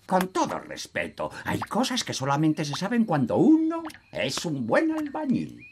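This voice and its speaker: A man voicing a smug, wise old elf in a children's cartoon, pompous and deliberate. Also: fairly high pitch, wildly swooping pitch, slight background noise. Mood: know-all. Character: smug, wise old male elf, know-all builder. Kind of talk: animated children's cartoon dub.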